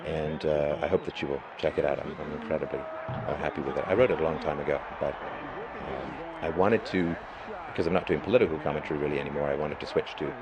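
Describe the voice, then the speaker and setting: A middle-aged man with a hoarse voice, hosting a live stream and talking through his views in an emphatic, lecturing monologue. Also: a bass voice, quick pace, lo-fi sound, noisy background. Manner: emphatic, lecturing, with rising bursts of stress. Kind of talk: monologue commentary.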